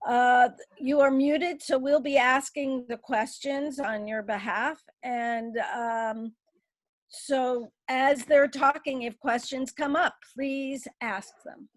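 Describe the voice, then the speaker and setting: A woman with a high voice moderates an online panel in a measured, formal manner. Her sound is close and dry, with little room tone. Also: fairly even intonation, dry recording, low-quality recording. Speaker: webinar co-moderator. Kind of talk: reading a scripted introduction, then giving instructions. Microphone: webinar call.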